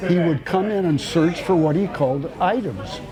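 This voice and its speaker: A man telling stories for a documentary in a deliberate way, repeating phrases for emphasis. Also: a little high, a crowd in the background. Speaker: documentary storyteller. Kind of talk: documentary storytelling.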